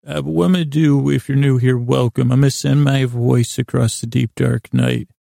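Male sleep podcast host: heavily fried, gravelly voice, close dry sound, meandering and self-deprecating, tripping over words. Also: medium pitch, fairly even intonation, warm tone, no echo. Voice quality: creaky, gravelly. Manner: halting, stammering, meandering, keeps restarting words. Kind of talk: rambling, tangential bedtime monologue.

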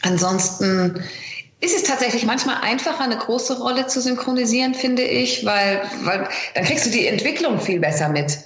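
A woman's voice: a little high, lively intonation, moderate pace, fairly bright tone, echoey room, slight background noise. A woman speaking as an interview guest, explaining things conversationally with examples over an internet call. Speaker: interview guest, voice actress and dubbing director. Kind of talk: casual interview chat. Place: remote internet call, echoey room.

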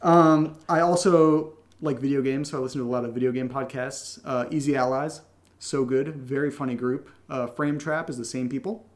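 A young man with a slightly high-pitched voice co-hosts a casual podcast. He chats off the cuff while answering questions, joking and keeping things informal. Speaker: podcast co-host and creator. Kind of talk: casual unscripted Q&A chat.

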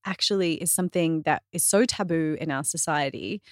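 A woman guesting on a podcast, a fitness trainer talking in an animated, earnest way with lots of "like" and self-corrections. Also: sits low, fairly quick, dry recording, clean studio sound. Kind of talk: casual podcast conversation.

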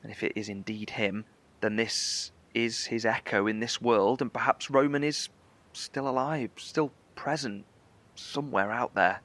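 A man playing a thoughtful survivor and radio coordinator in an audio drama, speaking deliberately and formally. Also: medium pitch, fairly even intonation, a bit bright, lightly acted. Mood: pondering.

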